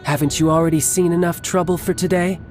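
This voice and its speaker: An actor playing a cocky young villain warrior in a video game, theatrical and playfully menacing, relishing battle with taunts. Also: male voice, fairly high pitch, little pitch movement, quick pace, loud music behind the voice, natural voice. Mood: smug menace. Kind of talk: English video game voice acting.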